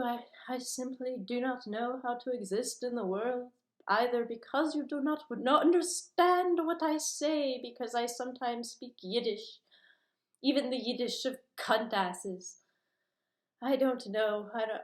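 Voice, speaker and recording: A woman's voice: fairly high pitch, echoey room. A woman delivering a rambling, profane rant that jumps from topic to topic in an agitated manner.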